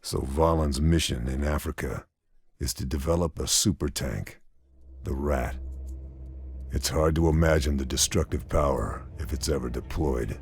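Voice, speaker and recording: Very deep gruff soldier. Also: male voice, normal speed. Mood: grim, measured. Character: gruff, terse, hardened male army sniper. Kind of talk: scripted game narration and cutscene dialogue. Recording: music behind the voice.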